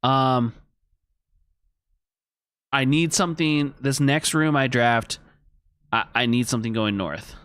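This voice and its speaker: A man's voice: medium pitch, slow pace, pauses often, fairly bright tone, smooth, dry recording, clean studio sound. A man streaming a video game, thinking aloud, chatting with his viewers and reading on-screen text as he plays. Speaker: gaming streamer. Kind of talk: casual let's-play commentary.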